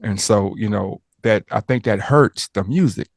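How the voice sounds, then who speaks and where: Rambling, joking man host with a low voice. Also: moderate pace, vocal fry, dry recording, studio quality. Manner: rambling, joking, prone to tangents and stammers. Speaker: interviewer and host. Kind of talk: casual interview chat.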